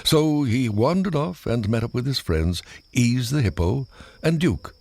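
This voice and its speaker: A man's voice: mid-range voice, animated, a little slow, a little mellow, no echo. A man reading a scripted children's read-along story as its narrator. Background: music.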